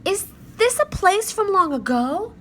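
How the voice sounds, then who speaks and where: A woman voicing an eager, fearless young girl in a children's storybook, with a playful, animated, cooing delivery. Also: very high voice, measured pace, slight background noise, voice pushed up, heavily acted. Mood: curious, gentle. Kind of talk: children's storybook read-aloud.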